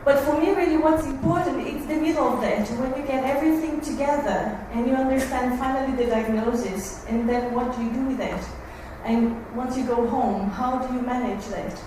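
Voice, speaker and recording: A woman's voice: high-pitched, moderate pace, hardly any pauses. Brazilian-accented woman speaking in an echoey room. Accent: Brazilian Portuguese. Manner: earnest, warm to the families, slightly nervous. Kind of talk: talk to a support group. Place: meeting room, recorded from far off. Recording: echoey room, far mic, noisy.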